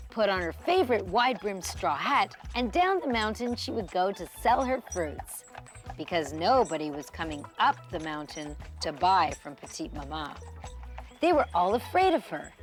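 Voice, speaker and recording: Female storybook narrator for a children's show: expressive, highly varied pitch, lingering on key words, music underneath.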